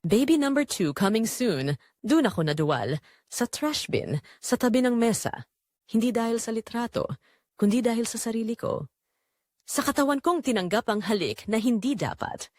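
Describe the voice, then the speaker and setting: Woman performing guilt-ridden young woman, lively swooping intonation, emotive confessional narration, audio drama, very dry recording. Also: on the high side, moderate pace, no echo. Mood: guilt-stricken.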